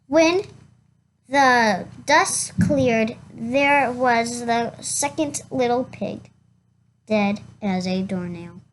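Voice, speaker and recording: Someone reading a children's storybook aloud in a halting way, stumbling over words and going back over them. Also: mid-range voice, slight background noise. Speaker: reader of a children's picture book.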